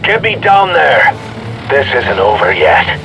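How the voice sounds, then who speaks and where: A man's voice: medium pitch, huge pitch swings, takes it slowly, gravelly, sharp tone, faint music behind, exaggerated voice, poor audio. A man playing a blunt, vengeful, hot-headed saboteur in a video game cutscene. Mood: determined. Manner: blunt, defiant.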